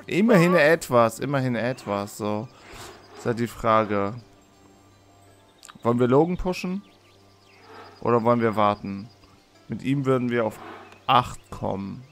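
A man records casual let's play commentary with music playing behind him. His mid-pitched voice sounds a little hoarse and under the weather. He thinks aloud as he goes, often repeating words. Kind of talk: casual video game commentary. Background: game music.

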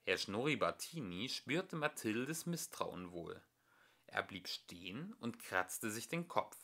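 A man's voice: on the low side, very animated, slow, bright, forceful tone. A man narrating a children's storybook expressively, as an amateur audiobook reader.

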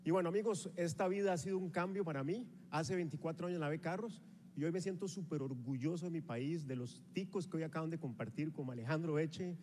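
High-voiced Costa Rican man on a hall stage. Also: brisk, a little hiss or hum. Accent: Costa Rican Spanish. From Costa Rica. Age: 40s. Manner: energetic, rallying the audience, walks the stage while gesturing. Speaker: motivational speaker at a sales rally. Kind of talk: motivational stage speech. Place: large convention hall on a stage with a live audience. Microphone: handheld microphone. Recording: reverberant hall sound.

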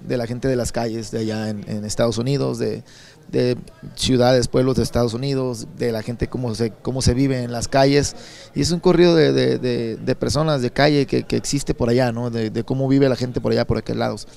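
A Mexican man in his thirties from Sinaloa, answering interview questions at an indoor expo booth into a handheld mic, relaxed and rambling with many repeated words. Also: mid-range voice, moderate pace, vocal fry. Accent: Sinaloan Mexican Spanish. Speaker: songwriter and singer.

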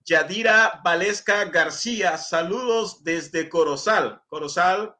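Brief: middle-aged Honduran male host, high bright voice, courteous, reads viewer comments on a video call. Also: slow pace. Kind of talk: interview, reading viewer messages.

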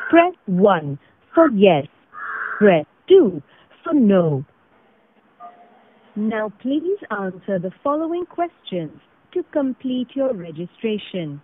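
A woman with an Indian accent reading formal, scripted automated phone prompts in a measured way over a phone line. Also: mid-range voice, big pitch swings, slight background noise. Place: recorded phone call. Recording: tinny narrow phone line recording.

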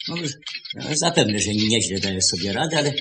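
Guy acting a fussy old shopkeeper in an old radio play, stammers and repeats himself, lively pitch, loud background noise. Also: normal speed, natural voice. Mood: fussy, flustered. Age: older. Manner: hesitant, repeats words, obsequious to customers. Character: fussy, stammering watchmaker shop boss, old man. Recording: noisy old 1970s radio recording.

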